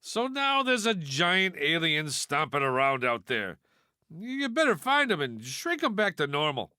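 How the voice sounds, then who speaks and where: A man's voice: a little high, big pitch swings, measured pace, sharp tone, clear, resonant tone, no echo, studio quality, full character voice. A man voicing a wisecracking toy piggy bank in a video game, a brash know-it-all with a sardonic, folksy delivery. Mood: explanatory, wisecracking. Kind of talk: video game character voice lines.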